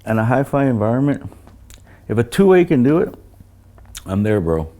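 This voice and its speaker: An older man who designs loudspeakers, talking casually about technical matters and often repeating words as he recounts things. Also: mid-range voice, sing-song. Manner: halting, repeats words, anecdotal.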